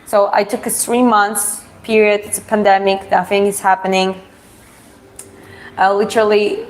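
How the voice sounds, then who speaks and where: A woman's voice: mid-range voice, a little hiss or hum, poor audio. Woman chatting casually. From Georgia. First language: Russian. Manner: hesitant phrasing, reads out viewer questions then answers frankly. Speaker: live stream host. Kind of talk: casual live Q&A chat.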